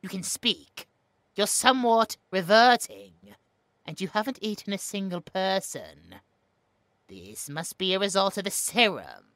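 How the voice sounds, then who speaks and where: A man's voice: sits high, animated, a bit bright, no echo, exaggerated voice. A British man playing a smug, cruel mad scientist in a horror audio roleplay, with a mocking, snobbish manner. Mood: sinister, gloating. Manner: mocking, snobbish, drawn-out musing.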